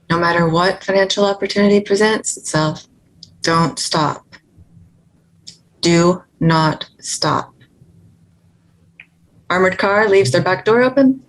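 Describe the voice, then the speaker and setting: A practical woman on the run, played by an actress at a table read, with a slightly low voice. She is wary and keeps coaching her partner through each step, in everyday dramatic dialogue. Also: poor audio.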